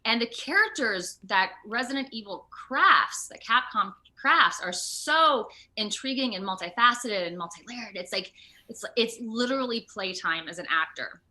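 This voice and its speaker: A woman actor talking casually as an interview guest, animated and quick to act out remarks. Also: on the high side, rough recording. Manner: animated, acts out quoted remarks.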